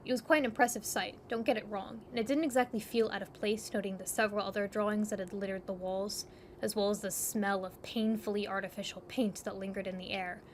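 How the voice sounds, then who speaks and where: A woman with a medium-pitched voice narrates an audio drama in the second person. It is scripted, descriptive storytelling. Clear noise sits behind her voice.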